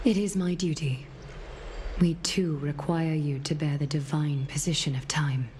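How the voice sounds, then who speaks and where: Low, solemn female leader. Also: steady tone, warm tone, a little hiss or hum. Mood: solemn, grave. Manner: formal, measured. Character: solemn, composed female leader weighing omens and fate. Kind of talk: scripted video game dialogue. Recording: music under the voice.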